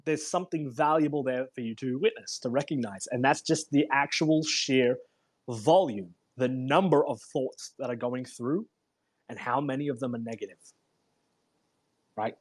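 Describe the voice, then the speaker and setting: A man reads aloud as host in a husky, unpolished voice at a medium pitch. His delivery is deliberate and emphatic, somewhere between audiobook narration and informal commentary. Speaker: host reading a self-help book aloud. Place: live online audio room broadcast.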